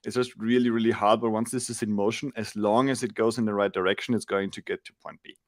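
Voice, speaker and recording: A man's voice: lowish voice, steady tone, fast, no echo, clean studio sound. A man in his forties from Austria, explaining things thoughtfully as a guest on a video call, with frequent restarts. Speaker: guest, SEO agency founder. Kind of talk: casual interview chat. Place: home room, indoors. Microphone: large studio mic on arm.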